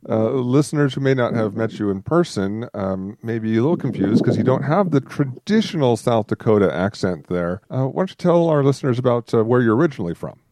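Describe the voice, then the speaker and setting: A man's voice: mid-range voice, lively intonation, a little fast, few pauses, warm tone. A man hosting a podcast, chatting casually with many ums and uhs. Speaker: podcast host. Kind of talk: casual chat.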